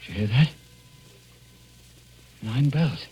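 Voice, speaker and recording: A big, haunted diver in a 1940s radio drama. His pleading, anguished voice swings widely in pitch, with faint music behind. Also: animated, slow pace, dark tone, breathy edge, full character voice. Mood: anguished, dreading. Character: haunted, fearful big deep-sea diver, henpecked husband.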